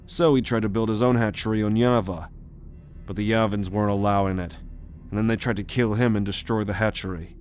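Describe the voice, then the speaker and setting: A man's voice: lowish voice, fairly even intonation, a little fast, darkish tone, faint music behind, lightly acted. A man playing a cocky, commanding alien rogue with a sardonic manner in an ASMR roleplay audio drama. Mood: confident. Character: cocky male alien rogue, bold leader on a mission.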